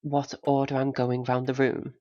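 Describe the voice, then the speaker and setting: A man's voice: medium pitch, monotone, moderate pace, mellow, dull audio, close to an everyday voice. A man playing a mild, doubtful listener in a naturalistic audio drama, answering in short, tentative, questioning replies. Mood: puzzled.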